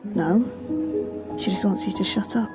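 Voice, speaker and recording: A woman's voice: medium pitch, takes it slowly, loud music behind the voice, close to an everyday voice. A young woman playing a sensible, teasing college student in an amateur audio drama.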